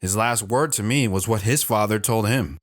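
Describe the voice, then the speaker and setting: A man's voice: fairly low pitch, normal speed, no echo, natural voice. An actor playing an older, reflective, world-weary man telling his life story in an audio drama.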